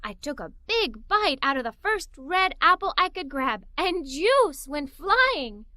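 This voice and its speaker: A woman narrating a children's story aloud in an expressive, playful way. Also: sits high, unhurried, no echo.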